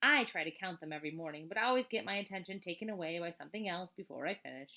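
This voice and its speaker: A woman reading a children's book aloud as a teacher, in an expressive, chatty way with casual asides. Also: fairly low pitch, very fast. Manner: expressive, chatty, emphatic on list items.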